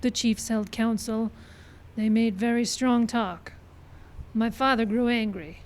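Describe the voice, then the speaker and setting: Female actor as proud, grave middle-aged daughter for radio western; higher register, formal plain-worded delivery. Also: fairly even intonation, unhurried, a little mellow, a little hiss or hum, close to an everyday voice. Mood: solemn, dignified. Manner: formal, simple sentences without contractions. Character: proud, solemn middle-aged Pawnee daughter of old man. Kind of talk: old-time radio western acting. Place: live stage show in a park.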